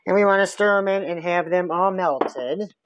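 A woman's voice: lowish voice, normal speed, no room sound, lo-fi sound. A woman walking through a recipe step by step in her home kitchen, chatting as she stirs. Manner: chatty step-by-step explaining while stirring. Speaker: home cook. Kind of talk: casual cooking demonstration. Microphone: camera on counter.

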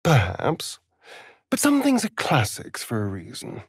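A man's voice: mid-range voice, wildly swooping pitch, unhurried, breathy edge, no room sound, exaggerated voice. A mocking vampire elf rogue for a fantasy game, speaking in an English accent with swooping, theatrical intonation. He takes his time over each phrase, languid, vain and teasing. The sound is dry and close, with no room on it. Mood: dry, wry.

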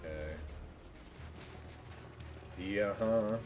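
A man's voice: lowish voice, very slow. A man chatting casually and jokingly to viewers as he livestreams a game, swearing now and then. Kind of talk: casual game stream commentary. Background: game music.

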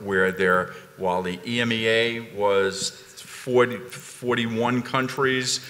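A man speaking on a conference panel, emphatic and animated, repeating phrases as he builds a point. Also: on the low side, big-room sound. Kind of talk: panel discussion. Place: conference ballroom stage.

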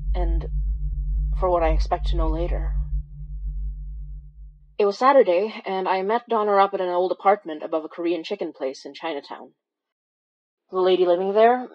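A woman's voice: fairly low pitch, highs cut off, lightly acted. An actress playing a wry, weary young woman who recounts eerie events in a conversational, hesitant way, as in a horror fiction podcast. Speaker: audio drama lead narrator. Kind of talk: confessional first-person horror podcast narration.

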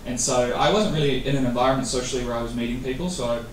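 A man's voice: medium pitch, fast. Casual male guest speaker in his thirties, rambling answers, distant mic in a noisy, reverberant venue. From New Zealand. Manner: rambling with many fillers and restarts. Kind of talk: casual interview answers at a meetup. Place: event venue. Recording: echoey room from far off.

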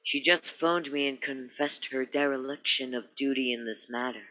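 A man's voice: medium pitch, normal speed, sharp tone, natural voice, rough recording. A man playing a wordy, comically formal police sergeant in a radio play, with a florid, officious, eager manner. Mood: pompous, eager.

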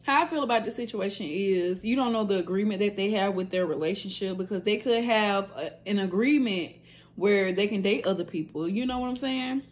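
A woman's voice: medium pitch, a little fast. A woman, chatting candidly to her phone camera in a small room, with lots of fillers and slang. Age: 20s. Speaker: nail business owner and vlogger. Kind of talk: casual vlog chat to camera.

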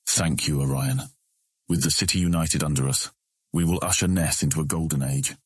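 A man voicing a scheming, self-assured guildmaster with a husky voice and a formal, insistent manner in a fantasy audiobook. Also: fairly even intonation, normal speed, dry recording, clean studio sound. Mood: pleased. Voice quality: husky, very deep. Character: scheming, self-assured male guildmaster, uneasy under pressure. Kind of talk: audiobook character acting.

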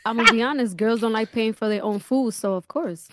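A woman's voice: on the high side, a little fast, very noisy. A woman guest on a podcast panel, talking bluntly and brashly and swearing freely. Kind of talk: casual panel talk. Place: podcast studio.

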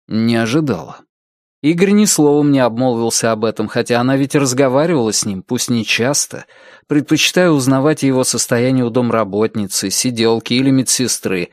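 A man narrates a detective novel in a mid-range voice. His delivery is measured and expressive, like a practised storyteller. The sound is dry, with little room in it. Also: mid-range voice, no room sound. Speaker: audiobook narrator.

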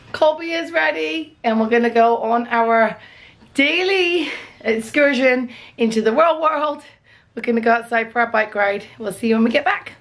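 A woman in her thirties chatting casually into a handheld camera in a cluttered bedroom at home, rambling and poking fun at herself. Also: fairly high pitch. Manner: chatty, rambling, self-deprecating. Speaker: vlogger, teacher. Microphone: handheld camera at arm's length.

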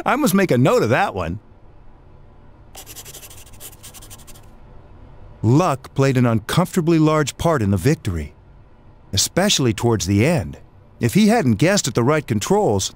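A man's voice: medium pitch, darkish tone. An actor voicing a middle-aged male scientist in a game cutscene who chuckles at his own puns and sounds playful and sly. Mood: musing. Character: pun-loving middle-aged male scientist chairman, genial and scheming. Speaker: game character.

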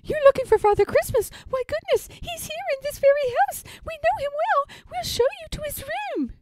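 A very high, squeaky little mouse. It is chatty, polite and excitable, with swooping storybook delivery and a soft-edged tone. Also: female voice, normal speed, a little hiss or hum. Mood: excited. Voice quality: tiny, squeaky. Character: eager, chatty little mice, small and polite. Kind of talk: children's storybook character voice.

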